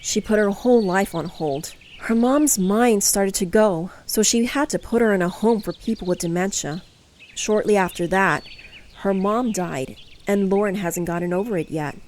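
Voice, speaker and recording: An actress playing a caring, soothing wife, gentle and concerned, in a horror audio drama. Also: female voice, mid-range voice, normal speed, a little hiss or hum, natural voice.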